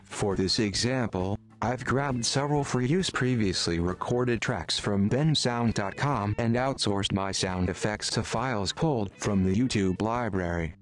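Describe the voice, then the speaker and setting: Low-pitched man narrating a tutorial. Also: a little slow. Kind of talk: scripted tutorial voice-over.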